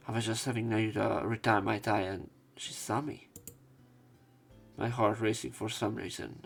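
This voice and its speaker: A man narrating a game let's play, reading dialogue aloud with casual, halting commentary. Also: on the low side, vocal fry, a bit bright.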